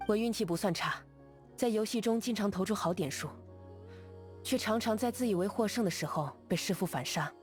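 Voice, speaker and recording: An actress voicing a calm, composed young woman duelist in a game, speaking in a steady, measured, quietly confident way. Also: medium pitch, soft background music, close to an everyday voice. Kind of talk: game character voice lines.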